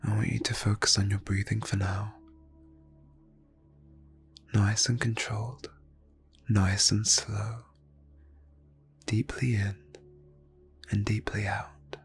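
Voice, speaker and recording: A man leading an ASMR guided meditation in a soft, breathy near-whisper, phrasing slowly and soothingly with long pauses. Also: lowish voice, level tone, faint music behind. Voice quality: breathy, hushed.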